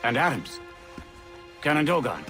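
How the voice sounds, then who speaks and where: A man's voice: on the high side, big pitch swings, measured pace, over music. An actor playing an aging, self-important statesman turned rebel, theatrical and wry, in a dramatic video game. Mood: alarmed.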